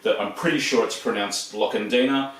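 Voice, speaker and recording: A man's voice: mid-range voice, brisk, a bit bright. Rambling Australian man in an echoey room. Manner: rambling, chatty, frequent ums and restarts. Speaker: video host. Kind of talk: casual show-and-tell. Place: room full of shelves and posters. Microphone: camera across the room. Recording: echoey room, distant mic.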